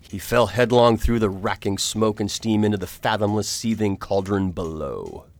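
An actor playing an earnest, determined gentleman hero in a radio drama, speaking gravely and formally. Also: on the low side, expressive, normal speed. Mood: grave, suspenseful. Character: earnest, determined gentleman hero recounting his perilous adventure. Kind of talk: radio drama narration and acting.

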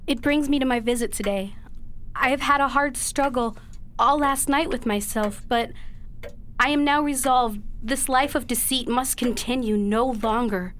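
A woman's voice: fairly high pitch, a bit bright, a little hiss or hum, natural voice. Woman acting an earnest, anguished young daughter. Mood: earnest, resolved. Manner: earnest, formal old-fashioned phrasing, halting with emotion. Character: dutiful, anguished young daughter confessing a long deceit. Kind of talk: period radio drama acting.

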